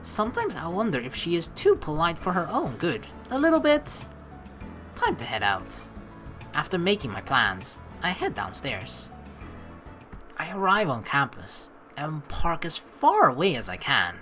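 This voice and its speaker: A woman hosts a story game playthrough in a bright, forceful voice. Her pitch sits a little low but moves around a lot as she reads narration and tosses in playful, chatty asides. Soft music plays behind her. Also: wildly swooping pitch, measured pace. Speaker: let's play host. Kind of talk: game commentary mixed with reading story text aloud.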